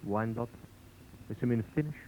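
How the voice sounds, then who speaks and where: An actor playing an excitable, devoted immigrant animal show owner who pleads emotionally in broken English with a thick foreign accent, in 1940s radio drama style. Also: male voice, medium pitch, normal speed, lightly acted. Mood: wary, stubborn. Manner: emotional, pleading, repeats himself. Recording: muffled, noisy old 1940s radio recording.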